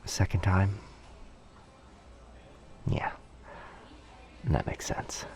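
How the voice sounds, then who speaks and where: Male actor as shy young coffee shop crush: low, creaky, soft and apologetic, intimate ASMR delivery. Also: exaggerated voice. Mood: shy, tentative. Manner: hesitant, apologetic, halting, often dropping to a breathy near-whisper. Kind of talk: boyfriend ASMR roleplay, soft intimate acting.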